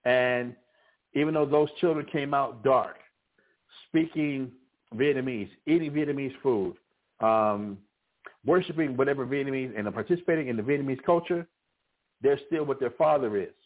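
A man teaching a scripture class, preaching in a halting way with many restarts and fillers. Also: mid-range voice. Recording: phone-like narrow band.

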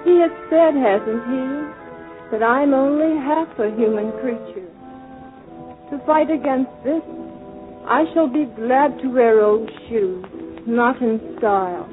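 An earnest, patriotic schoolgirl in an old radio drama. She recites slowly and carefully in a high voice, through tinny sound with music behind. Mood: earnest, solemn. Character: earnest, patriotic Harlem schoolgirl reading her composition. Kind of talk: 1940s radio acting.

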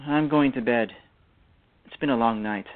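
A man voicing a middle-aged, melancholy yet dryly playful father in a radio drama, with a halting, wry delivery. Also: mid-range voice, phone-quality sound, close to an everyday voice. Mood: weary.

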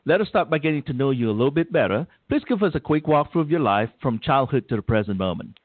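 A man's voice: mid-range voice, expressive, dry recording. A man hosting a talk radio show, formally introducing and welcoming his guest. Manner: formal, measured and polite. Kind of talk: scripted guest introduction and interview. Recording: thin phone-line sound, dry.

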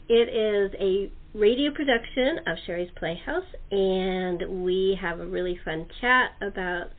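A woman hosting a casual chat show. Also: mid-range voice. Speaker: podcast host. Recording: thin, tinny phone-like line.

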